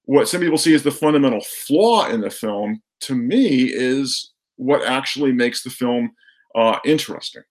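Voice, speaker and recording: A man speaking as a guest in a conversational film discussion, measured and hesitant, carefully qualifying his opinions. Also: mid-range voice.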